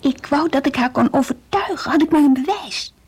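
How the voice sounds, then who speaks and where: Woman playing an earnest, high-voiced devout Dutch teenage girl. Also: fairly quick, darkish tone, close to an everyday voice. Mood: dreamy, confiding. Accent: Netherlandic Dutch. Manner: earnest, dreamy, stubborn. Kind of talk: Dutch radio play acting, diary monologue and dialogue. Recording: faintly hissy old radio play recording.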